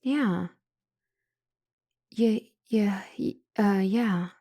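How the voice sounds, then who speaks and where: A woman playing a flustered, smitten doctor and couples counselor in an ASMR roleplay drama, hesitant and breathy. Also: medium pitch, very slow, dark tone, dry recording, clean studio sound. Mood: flustered, eager.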